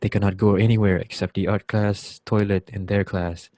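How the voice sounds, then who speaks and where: A man's voice: fairly low pitch, level tone, normal speed, a little mellow, no room sound, studio quality. A young man, a student, reading scripted narration for a reader's theater piece. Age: young adult.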